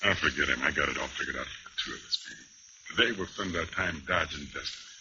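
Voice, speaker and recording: An actor playing a dreamy, boozy nightclub pianist in a radio noir, talking in a florid, rambling, poetic hipster way. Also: male voice, rumbling low, fast talker. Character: dreamy, boozy male nightclub piano player. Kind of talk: old-time radio noir acting. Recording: muffled, noisy old radio recording.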